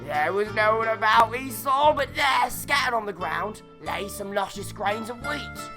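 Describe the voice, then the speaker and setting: Woman reading a folk tale for kids, lively up n down voice, music underneath. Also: on the high side, unhurried. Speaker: storyteller. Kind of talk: narrating a children's folk tale. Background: instrumental music.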